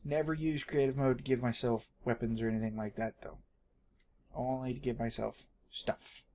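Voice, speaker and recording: A mid-pitched man with a Texan accent chats casually through a gameplay video. He rambles and half talks to himself in a jokey, self-deprecating way. The home recording carries a faint layer of background noise. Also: steady tone, moderate pace, a little mellow. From Texas, United States. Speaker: gaming video host.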